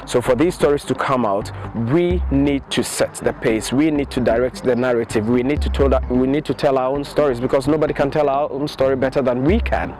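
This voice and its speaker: A man's voice: mid-range voice, brisk, few pauses, darkish tone, a little hiss or hum. A young man from Ghana, answering interview questions outdoors on a lapel mic with emphatic, repeated phrases. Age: young adult. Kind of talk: earnest to-camera interview answer. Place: outdoors on a campus lawn.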